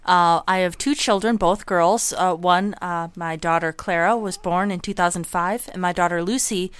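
A woman in her thirties introducing herself as a panelist, hesitant with many pauses. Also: fairly low pitch, fairly even intonation, clear, resonant tone, dry recording. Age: 30s. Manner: hesitant, lots of uh. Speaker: podcast panelist.

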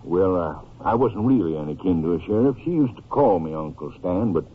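A folksy middle-aged rancher with a Southwestern drawl, hesitant and apologetic. His deep voice rises and falls freely, heard through a narrow, tinny old radio drama recording. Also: expressive, a little fast, breathy edge. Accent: American Southwestern. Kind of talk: 1950s radio drama acting.

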